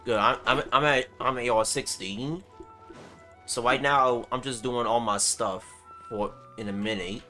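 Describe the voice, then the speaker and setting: A man's voice: mid-range voice, steady tone, unhurried, pushed and bright, faint music behind. A man livestreaming a game with casual commentary, muttering short exclamations to himself and chatting to viewers. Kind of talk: casual live gameplay commentary.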